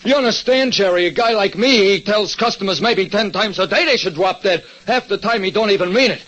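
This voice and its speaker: An excitable New ex-crook turned diner owner, pitched very high. He talks in streetwise, ungrammatical slang and sounds anxious and worked up. It is 1940s radio detective drama on a muffled old recording. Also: a little fast. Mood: worried, agitated. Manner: streetwise ungrammatical wise-guy talk. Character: Louie, excitable ex-burglar diner owner, anxious tough guy.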